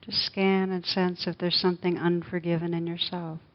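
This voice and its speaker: A woman leading a guided meditation, speaking slowly and softly with long pauses. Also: on the low side, little pitch movement. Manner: slow, soft, gentle guidance with long pauses. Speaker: meditation teacher. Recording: dull lo-fi recording.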